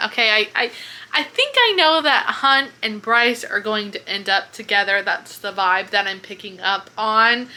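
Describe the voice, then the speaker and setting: A woman book vlogger chatting casually in an expressive, informal way with plenty of swearing. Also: on the high side. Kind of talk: casual reaction chat to camera.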